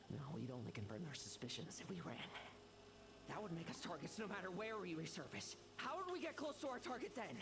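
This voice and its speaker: An actor playing a cold, ruthless schemer who gives clipped, commanding orders. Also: male voice, high-pitched. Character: cold, ruthless male schemer chasing power. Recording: game audio with background noise.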